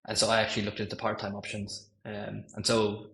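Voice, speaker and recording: Irish guy, thirties, podcast guest; low steady voice, chatty, lots of ums and restarts. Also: a little fast, gravelly. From Ireland. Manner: rambling and self-correcting, many ums, laughs easily. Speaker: guest, machine learning engineer. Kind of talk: casual podcast chat.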